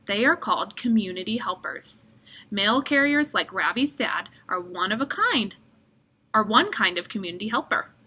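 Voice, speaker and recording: A woman reading a children's story aloud with expressive delivery. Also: medium pitch. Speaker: storybook reader.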